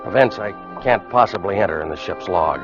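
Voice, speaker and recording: An actor playing a weary American first mate of a sailing schooner in an old radio drama. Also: male voice, low-pitched, expressive, a little fast. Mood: grave, foreboding. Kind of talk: 1940s-50s radio drama acting, first-person narration and dialogue. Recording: muffled vintage radio recording, music behind.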